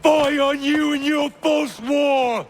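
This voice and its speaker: A man shouting jeers as a loud heckler, over music. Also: very high voice, fairly even intonation, slow, pitched above the performer's own voice. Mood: jeering. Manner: loud, jeering, yelling. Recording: music score behind voice.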